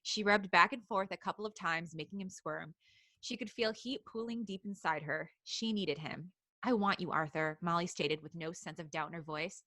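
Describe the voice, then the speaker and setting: A woman's voice: on the low side, moderate pace, pushed and bright, no room sound. A woman hosting a live podcast, reading steamy fan fiction aloud and breaking into giggles. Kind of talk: live comedic reading and banter.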